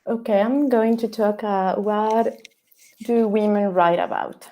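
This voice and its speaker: A woman from Ecuador, giving a student presentation over a video call in halting, English. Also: mid-range voice, fairly even intonation, mellow. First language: Spanish. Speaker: data analytics student presenting.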